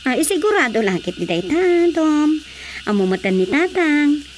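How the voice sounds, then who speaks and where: An actress with an Ilocano accent playing a plain-spoken, bossy mayor's wife in a radio drama comedy. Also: female voice, high-pitched, very animated, normal speed. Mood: modest, firm. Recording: faint noisy cricket-like ambience.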